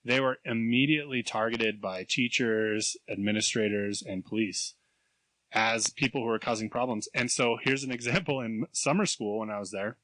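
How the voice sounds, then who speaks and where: A man in his thirties co-hosting a podcast, telling animated stories, acting out other people's voices and laughing often. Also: lowish voice, normal speed, no room sound, low-quality recording. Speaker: podcast co-host. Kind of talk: casual chat, storytelling.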